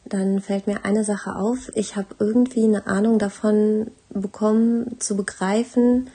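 Mellow, slightly husky woman's voice. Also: medium pitch, steady tone, a little slow. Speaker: guest contributor, artist. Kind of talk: personal reflective voice message.

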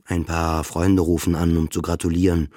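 A man's voice: deep voice, level tone, moderate pace, warm tone, no room sound, clean studio sound. An actor playing a depressed, self-doubting man of forty in an introspective, repetitive radio drama monologue. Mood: flat resignation. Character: depressed forty-year-old male patient, brooding, self-doubting narrator.

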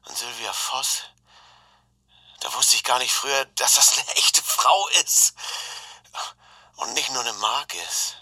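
An actor playing a manic, conspiratorial clinic patient confiding into an audio diary, muttering and bursting out, with a husky voice. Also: male voice, medium pitch, slow pace, pushed and bright, full character voice. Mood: secretive, weary. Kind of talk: confessional audio diary acting.

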